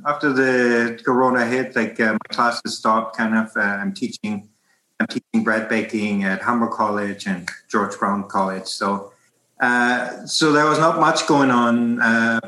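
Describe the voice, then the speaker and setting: A man's voice: medium pitch, sharp tone, reverberant, low-quality recording. A man in his forties from Germany with a German accent, talking casually in an interview about his life as a baking teacher, rambling with many restarts. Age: 40s. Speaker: bread baking instructor.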